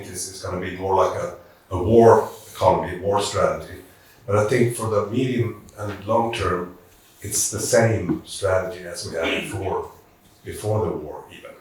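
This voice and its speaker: A man speaking on a conference panel, thoughtful and halting, with restarts as he works through his points. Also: lowish voice. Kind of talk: panel discussion. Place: conference hall. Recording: echoey hall, distant mic.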